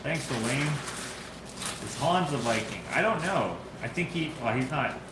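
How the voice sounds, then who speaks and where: A man's voice: medium pitch, animated, moderate pace. Rambling man in an echoey workshop. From Canada. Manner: rambling, self-correcting, chatty with viewers. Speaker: host of a live build stream. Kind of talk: casual chat while working. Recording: echoey workshop with loud background noise.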